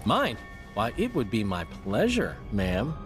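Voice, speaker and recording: A man playing a courteous, gentlemanly hero in a video game, with music behind him. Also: fairly low pitch, wildly swooping pitch, slow pace, exaggerated voice. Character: courteous gallant hero looking for his kidnapped friends. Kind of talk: cartoonish video game acting.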